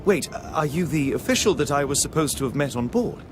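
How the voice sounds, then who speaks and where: An actor playing an eager, polite young gentleman, chatty and keen to help, in scripted game dialogue. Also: on the high side, lively intonation, fairly quick, over music, natural voice. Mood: friendly, curious.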